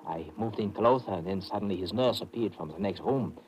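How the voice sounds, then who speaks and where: An actor playing an earnest, nervous German doctor in a 1950s radio drama, with a German accent and a formal, hesitant way of talking. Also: male voice, on the low side, fairly quick, darkish tone, close to an everyday voice. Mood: earnest, uneasy. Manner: hesitant, repeats words, formal. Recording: slightly noisy old radio broadcast.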